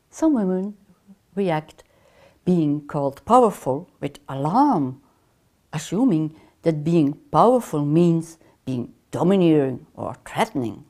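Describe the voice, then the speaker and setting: An older woman with a Dutch accent delivers a course lecture in an unhurried, deliberate way. Her voice is mellow and somewhat low, and her pitch rises and falls in a lively manner. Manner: measured, deliberate, gestures with her hands. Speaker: counseling lecturer. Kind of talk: scripted online course lecture. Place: small lamp-lit room, seated in armchair.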